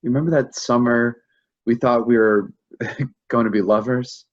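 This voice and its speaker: A shaken husband played by a man in a naturalistic play reading. The voice is mid-pitched and husky, halting and hesitant as he gropes through grief and confusion. The sound is a little dull and lo-fi. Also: fairly even intonation, close to an everyday voice. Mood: wistful. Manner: halting, hesitant, repeating himself. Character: shaken, confused husband grieving a lost pregnancy.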